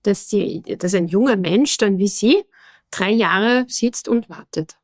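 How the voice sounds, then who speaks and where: A woman's voice: mid-range voice, slow pace, no room sound, clean studio sound. A woman hosting a podcast interview, asking long questions that she restarts and rephrases as she goes. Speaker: podcast host.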